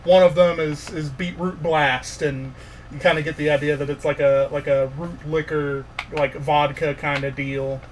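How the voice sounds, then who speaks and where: A man working as game master, narrating a tabletop fantasy game in an informal, halting way with pauses. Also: fairly high pitch, steady tone, a little hiss or hum, rough recording.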